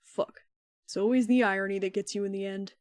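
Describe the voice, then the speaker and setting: A performer with a woman's voice playing a deadpan, hapless young man in a fan fiction audio drama. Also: mid-range voice, a little fast, natural voice. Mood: deadpan exasperation.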